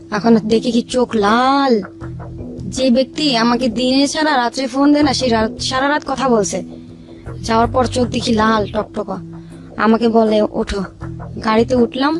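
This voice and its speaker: A woman with a Bangladeshi accent tells her life story informally as a guest, acting out the dialogue as she goes. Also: on the high side. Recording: music under the voice.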